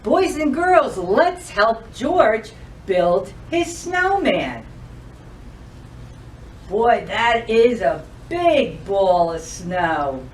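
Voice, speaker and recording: A woman hosting a children's storytime, reading aloud and addressing young kids in an expressive, sing-song, deliberate way. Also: fairly high pitch, distant mic, slight background noise. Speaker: library storytime host.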